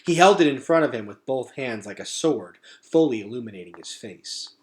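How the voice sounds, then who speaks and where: A man's voice: medium pitch, expressive, normal speed. A man narrating a children's novel expressively as a read-aloud storyteller.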